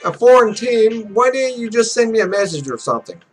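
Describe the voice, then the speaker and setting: A man's voice: high voice, lively intonation, fairly quick, husky, soft background music. A man voicing a cocky, grumpy young pro gamer from a visual novel, blunt and brash. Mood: sheepish, puzzled.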